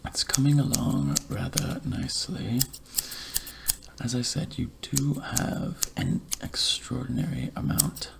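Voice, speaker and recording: A man playing a polite, fussy barber in a whispered ASMR roleplay, speaking softly and formally close to the microphone. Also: medium pitch, lively intonation, vocal fry, full character voice. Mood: soft, courteous. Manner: soft, hushed, formal, measured. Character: polite, fussy, chatty male barber tending a client. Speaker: ASMR performer. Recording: loud clicking and hiss, scissor sounds.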